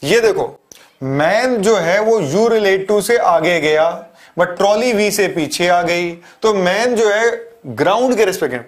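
A man in his thirties teaching a physics lesson at a board, explaining step by step and asking and answering his own questions. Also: high voice. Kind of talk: classroom lecture. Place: studio in front of a large touchscreen board.

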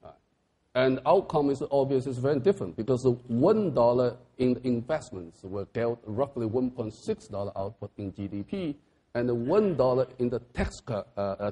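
A man's voice: medium pitch, dark tone, slightly rough. A man on a panel discussion explaining economic policy point by point, with non-standard English grammar.

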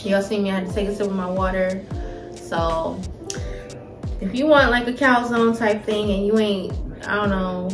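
A woman's voice: mid-range voice, moderate pace. Casual American woman talking between bites. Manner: informal, slangy, talking while eating. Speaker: co-host food reviewer. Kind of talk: casual chat, food review. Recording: roomy home recording with faint music.

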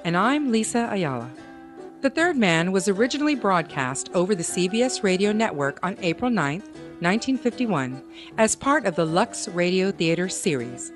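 A woman's voice: fairly low pitch, animated, normal speed. A woman reading scripted credits as the announcer of a radio drama. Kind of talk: scripted narration. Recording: faint plucked-string music behind.

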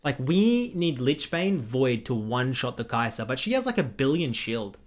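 A man's voice: medium pitch, sing-song. A young man commentating a game replay in casual, blunt style, recorded at home on a headset. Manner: blunt, critical, quick asides. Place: small room at home.

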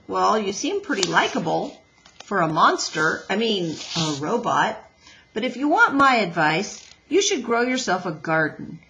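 A woman voicing a gruff, stubborn father beaver in a children's storybook, blunt and folksy in manner. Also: medium pitch, a bit bright, poor audio. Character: gruff, stubborn, grudgingly helpful old-fashioned father beaver.

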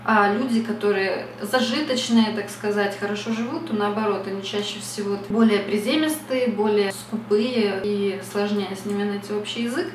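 A woman's voice: medium pitch, moderate pace, few pauses, reverberant, far from the mic, a little hiss or hum. A young woman from Russia talking casually to camera at home, reflective and chatty, with many fillers. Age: young adult. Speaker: beauty vlogger. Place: small bright room at home.

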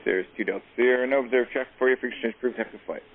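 A man working as an air traffic controller, giving rapid instructions over aviation radio. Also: medium pitch, steady tone. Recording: tinny aviation radio, faint static.

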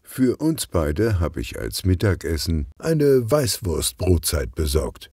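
A man's voice: low-pitched, sing-song, slow pace, darkish tone, breathy edge, no room sound, studio quality, full character voice. A man voicing a cheerful, chatty, teasing woman in a children's story, explaining things in a friendly way.

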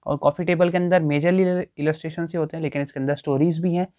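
A man's voice: a little high, steady tone, fast, a little mellow, dry recording. A young man casually walking through a bookstore, chatting and thinking aloud as he browses the shelves. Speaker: booktuber. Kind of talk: casual walkthrough vlog. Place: small indoor bookstore with packed shelves.

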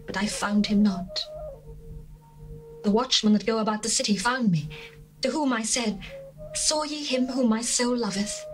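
A woman voicing a lovesick young bride longing for her beloved, in a dramatized scripture reading, tender and lyrical with hushed passages. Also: a little high, natural voice, rough recording. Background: faint music.

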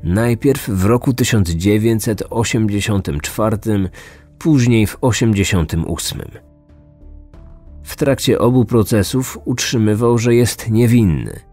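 Low-voiced man narrating true crime over music. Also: animated, measured pace, dark tone. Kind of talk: scripted documentary narration.

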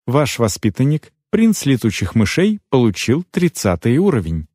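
A man voicing an impersonal game system that makes formal, measured announcements in a fantasy audiobook. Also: mid-range voice, very animated, darkish tone, slightly rough, no echo, studio quality. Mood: neutral, formal.

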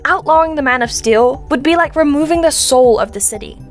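A woman's voice: high-pitched, a little fast, faint music behind, close to an everyday voice. A woman playing a principled, combative newspaper reporter, pointed and articulate, in an audio drama debate. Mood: indignant.

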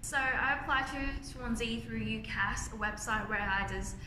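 A woman's voice: on the high side, a little slow. A young woman in her twenties from Thailand, speaking English with a Thai accent, earnestly answering interview questions as a medical student. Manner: earnest, plain-spoken, slightly non-native grammar. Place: indoors by large windows, university building. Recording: roomy echo with clear ambient noise.

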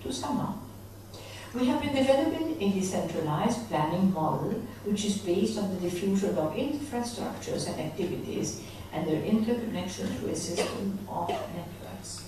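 A middle-aged woman at a lectern reads a prepared conference paper in a measured way, with small slips and self-corrections. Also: medium pitch, expressive, recorded from a distance, background noise. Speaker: conference speaker reading a presentation. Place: large conference hall, at a lectern. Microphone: lectern microphone. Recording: echoey hall, voice from off the mic.

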